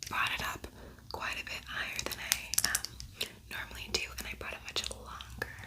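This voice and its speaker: Whispering woman in a casual ASMR tutorial. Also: normal speed. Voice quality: breathy unvoiced whisper. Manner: soft, intimate, repeats words. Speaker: ASMR makeup tutorial host.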